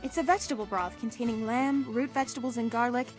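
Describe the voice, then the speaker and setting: A woman's voice: a little high, fast talker, soft background music, lightly acted. A woman playing a courteous palace attendant in a comic dub, giving patient, orderly instruction. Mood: courteous, informative.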